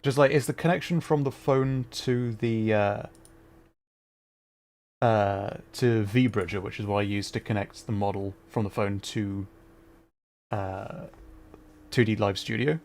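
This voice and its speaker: A man's voice: fairly low pitch, fairly even intonation, unhurried, long pauses, darkish tone. Man casually livestreaming a game. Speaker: livestreamer playing a video game. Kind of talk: casual live game commentary and chat with viewers.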